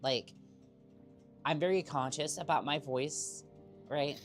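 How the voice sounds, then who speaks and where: A woman with an extremely low voice chats slowly with her stream viewers, husky and worn from days of coughing. She rambles and restarts her sentences, and faint game music plays softly underneath. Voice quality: husky, strained voice. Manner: rambling, halting, many fillers and restarts. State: sick with a cough. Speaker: VTuber streamer. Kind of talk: casual live-stream chat while gaming.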